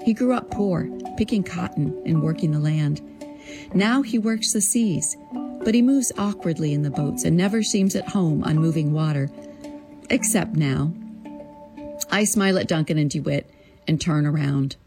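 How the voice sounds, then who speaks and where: A woman writer narrating her own memoir in an expressive, deliberate, literary way, acting out the dialogue. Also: sits low, music in the background. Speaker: creative writing teacher. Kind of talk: prepared personal storytelling, narrated memoir.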